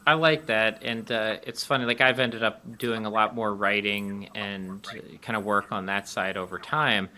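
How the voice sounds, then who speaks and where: A man's voice: lowish voice, fairly even intonation, normal speed, hardly any pauses, sharp tone. A man co-hosting a podcast, asking loose, rambling questions with fillers. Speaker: podcast co-host. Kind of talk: casual interview chat.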